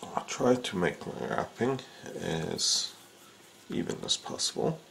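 A man's voice: low voice, big pitch swings, takes it slowly, vocal fry, a bit bright, slight background noise. A man giving a casual how-to demonstration of repairing a fishing rod, talking unhurriedly with frequent filler sounds as he works at an indoor bench. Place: indoors at a workbench.

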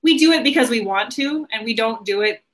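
A woman with a fairly high voice hosts a casual online conversation. She talks informally, in a conversational way, as someone in her thirties chatting over a video call. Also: lively intonation, brisk, fairly bright tone. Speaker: host of a marketing livestream. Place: small home office indoors.

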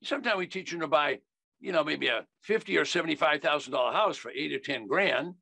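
Gravelly, bright-voiced older American man. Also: fairly high pitch, expressive, fairly quick, no room sound. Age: older, 70s. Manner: emphatic, folksy, repeats key phrases. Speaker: investing educator. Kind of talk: instructional sales talk. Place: indoors on a video call.

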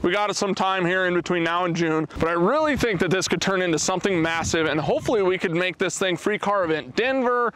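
Energetic man vlogging outdoors at a track. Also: sits high, a little fast, hardly any pauses, a little hiss or hum, low-quality recording. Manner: energetic, animated, talks with his hands. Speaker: automotive vlogger, event organizer. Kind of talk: casual promotional walk-and-talk vlog. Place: outdoors at an open, windy race track facility. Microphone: camera held at arm's length.

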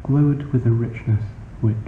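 A man gives a measured reading of a short story. His delivery is formal and literary, at a middling pitch. The sound is narrow and phone-like, with a roomy echo around it. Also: a little hiss or hum. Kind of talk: literary scripted narration.

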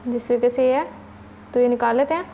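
A woman's voice: fairly high pitch, fast, creaky, dark tone, a little hiss or hum. A woman hosting a home cooking channel with a Pakistani Urdu accent, patiently explaining each recipe step to her viewers.

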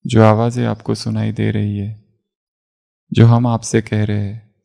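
A man guiding a relaxation meditation as an instructor, giving calm, measured instructions with long pauses. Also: on the low side, creaky. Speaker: yoga nidra meditation instructor.

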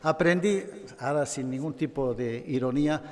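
An older man from Catalonia giving a formal award acceptance speech in a measured manner with touches of humor. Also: fairly high pitch, a little mellow. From Catalonia, Spain. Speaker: award recipient. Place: large gala hall. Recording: long reverb of a hall.

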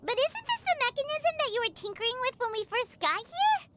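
A woman voicing an excitable tiny fairy companion in a video game, bubbly and talking about herself in the third person. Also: extremely high pitch, fairly quick, very noisy. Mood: eager.

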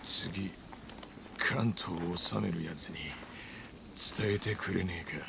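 Deep, croaking, dying samurai lord. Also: male voice, fairly even intonation, slow, noisy background, heavily acted. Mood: weary, resolute. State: weak, breathy, failing. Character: dying rough-spoken samurai lord, tough but tender.

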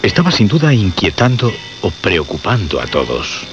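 A man's voice: sits low, huge pitch swings, moderate pace. A man narrating a suspense radio drama as a grave storyteller. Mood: grave, suspenseful. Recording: dull radio recording under loud music.

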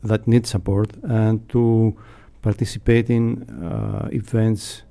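A middle-aged man with a Greek accent, answering interview questions in a halting, hesitant way. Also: on the low side, level tone, mellow. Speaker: radio station staff member, interviewee. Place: small foam-padded radio studio. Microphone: close studio mic on boom arm, headphones.

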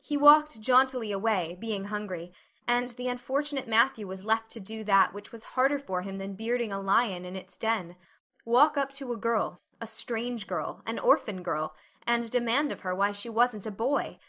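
A woman's voice: fairly high pitch, normal speed, bright, forceful tone. A woman reading a classic novel aloud as an audiobook narrator, in a scripted, dramatic storytelling style.

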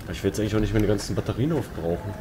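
A man's voice: lowish voice, rapid-fire, very noisy. A man with a German accent giving casual, jumpy commentary on a horror game, often talking to himself and exclaiming. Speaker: let's player. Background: game music and sounds.

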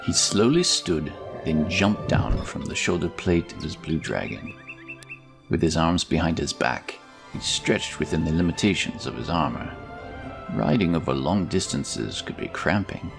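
A man's voice: rumbling low, animated, measured pace, creaky. A man narrating a scripted fantasy story as its storyteller. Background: music.

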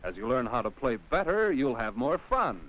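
Male narrator, American, mid-range pitch, highly animated, 1950 classroom-film style, slightly muffled vintage sound. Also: normal speed, background noise. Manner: addresses the child on screen directly with rhetorical questions. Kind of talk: 1950s educational film scripted narration.

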